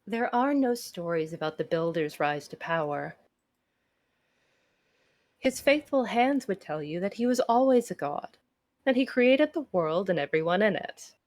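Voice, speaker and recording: A woman's voice: mid-range voice, animated, unhurried, a little mellow, natural voice. A woman voicing a bitter, self-important female adventurer in a visual novel, whiny and dramatically sardonic. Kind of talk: acted visual novel narration.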